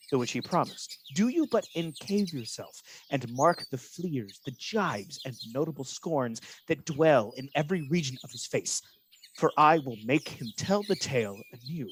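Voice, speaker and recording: A man's voice: fairly high pitch, slight background noise. A man acting a manipulative villain in a Shakespearean radio play, insinuating and theatrical, posing as a loyal officer. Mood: coaxing. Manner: insinuating, measured, theatrical verse delivery.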